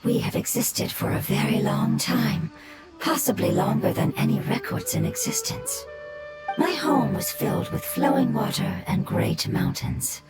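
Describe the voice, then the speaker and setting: A woman voicing a gentle ancient nonhuman survivor in a video game, speaking solemnly and formally in a breathy, whispery voice. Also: fairly high pitch, big pitch swings, low-quality recording. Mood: solemn, sorrowful. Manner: solemn, measured, formal storytelling. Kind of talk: scripted video game dialogue. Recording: game audio under loud music.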